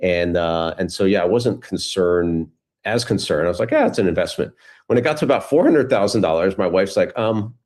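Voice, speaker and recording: A man's voice: low voice, lively intonation, brisk, darkish tone. A middle-aged man talking casually as an interview guest, telling stories in a rambling way and often restarting his phrases. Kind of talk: casual conversational storytelling.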